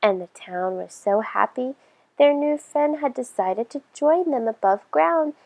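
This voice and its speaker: A woman reading a children's story aloud in an expressive storytelling style. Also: high voice, unhurried, vocal fry.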